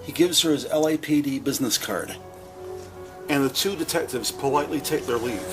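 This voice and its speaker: A man's voice: mid-range voice, fairly quick. A man reading scripted descriptive narration for an audio drama. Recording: music under the voice.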